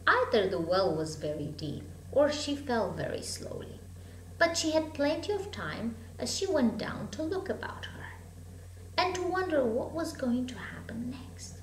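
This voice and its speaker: Woman storyteller, high and very lively, dramatic phrasing for children's fiction; homemade echoey recording. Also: unhurried. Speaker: storybook reader. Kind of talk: expressive children's story reading. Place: room at home with bookshelves. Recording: echoey room, hum and background noise.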